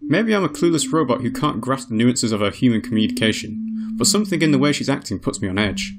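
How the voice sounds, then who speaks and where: A man's voice: mid-range voice, expressive, fast, heavy background noise, close to an everyday voice. A man voicing a polite, curious young female android in a visual novel. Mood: uneasy.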